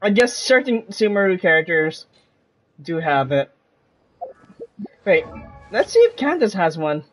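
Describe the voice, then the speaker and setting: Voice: medium pitch, big pitch swings, measured pace, long pauses, soft background music. Casual game streamer with mid-range voice. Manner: conversational, musing aloud. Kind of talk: casual live gameplay commentary.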